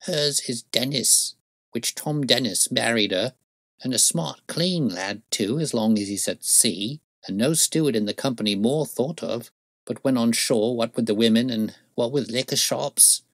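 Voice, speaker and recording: A man's voice: mid-range voice, a little slow, no room sound, pitched above the performer's own voice. A man voicing a garrulous, humble, very old working-class woman in an audiobook, with rambling, folksy phrasing. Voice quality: harsh. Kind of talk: audiobook character voice.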